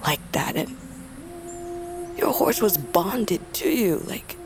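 An actress playing a grieving, fierce but lonely young princess of a wolf tribe in a fantasy audio drama, speaking in a solemn, intimate, halting way. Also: female voice, on the low side, big pitch swings, heavily acted. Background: music.

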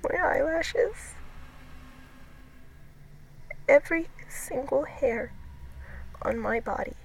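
An actress playing a shaken woman telling a harrowing personal story as a dramatic, halting monologue. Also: high voice, creaky. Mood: rising panic. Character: shaken woman recounting losing all her hair at a hotel. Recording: noisy recording with ambient sound.

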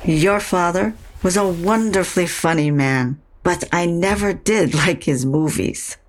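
A woman's voice: on the low side, measured pace, a little hiss or hum, close to an everyday voice. An actress playing a guarded, brittle widowed mother of a grown daughter in naturalistic audio drama dialogue. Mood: guarded, subdued.

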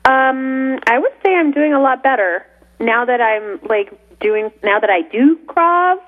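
A woman's voice: high voice, expressive, a little slow. A woman co-hosting a radio talk show, chatty and often laughing as she trails through her sentences. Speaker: radio co-host. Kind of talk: casual studio chat. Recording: narrow phone-like radio sound.

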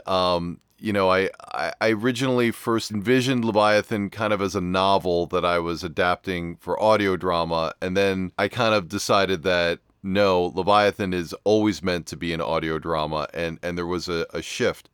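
A man's voice: low-pitched, clear, resonant tone, no echo, studio quality. A man hosting an interview, putting questions in a conversational style. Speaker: host, interviewer.

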